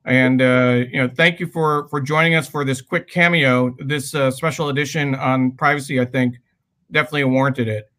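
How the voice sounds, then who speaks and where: A man co-hosting a casual livestream over a video call, speaking in halting phrases. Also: mid-range voice, fairly even intonation, bright, forceful tone. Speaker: livestream co-host.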